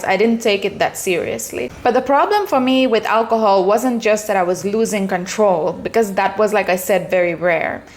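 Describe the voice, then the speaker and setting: A woman's voice: mid-range voice, slight background noise. A young adult woman from Finland, telling a personal story in a candid, earnest vlog. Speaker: vlogger.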